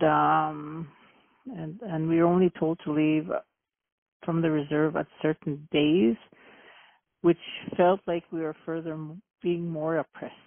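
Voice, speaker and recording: A middle-aged woman from Manitoba, Canada, answering interview questions by phone in an earnest, halting way. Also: deep voice, creaky, dry recording. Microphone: telephone. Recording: tinny phone line.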